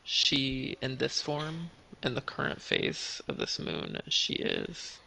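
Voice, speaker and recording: A man narrating a tabletop game as game master, halting and trailing off, with a gravelly, husky voice. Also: medium pitch, big pitch swings, pushed and bright, rough recording. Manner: halting, with trailing, unfinished phrases.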